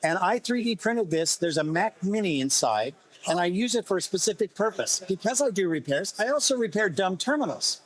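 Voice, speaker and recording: A man with a slightly hoarse voice, explaining his vintage repair work conversationally in an interview, often restarting phrases. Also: sits high, lively intonation, a little fast. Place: busy festival exhibit table.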